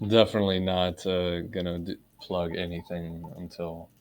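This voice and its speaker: Podcast co-host, man, deep low voice, blunt sweary teasing, light hum under the track. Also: little pitch movement, moderate pace. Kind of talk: casual podcast banter.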